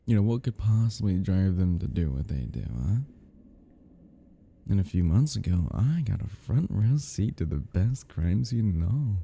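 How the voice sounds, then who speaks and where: A man playing an obsessed, giddy and menacing crime scene cleaner in an intimate audio roleplay, rambling in a husky voice that drops into whispers. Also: low voice, animated, normal speed, mellow, full character voice. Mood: obsessed, admiring. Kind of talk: intimate ASMR-style dramatic roleplay.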